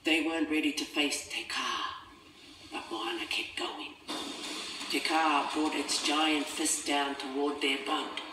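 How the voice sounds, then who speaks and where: A man's voice: fairly high pitch, fairly even intonation, unhurried, background noise. A man reading a scripted children's storybook as its narrator. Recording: echoey, distant, music behind.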